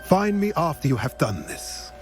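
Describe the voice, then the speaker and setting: An actor playing an old, wise male centaur who speaks in a grave, measured way in a video game. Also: a little high, sing-song. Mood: solemn. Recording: game audio with music underneath.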